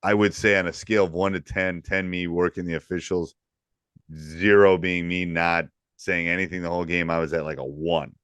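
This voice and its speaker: Loud, emphatic coach with a low voice. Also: male voice, moderate pace, smooth, no echo. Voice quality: loud, distinct voice. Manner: animated, storytelling, emphatic. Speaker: podcast host and basketball coach. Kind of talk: casual podcast chat.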